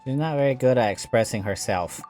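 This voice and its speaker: A woman's voice: very deep voice, expressive, brisk. A woman giving casual let's play commentary with sassy, dry asides. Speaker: gaming video host. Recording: game music behind the voice.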